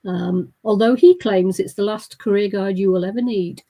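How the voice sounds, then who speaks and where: A woman on a video call hosting a live online panel, introducing books calmly and keeping the talk moving. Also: mid-range voice, normal speed. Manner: calm, brief prompts and acknowledgements. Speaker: host.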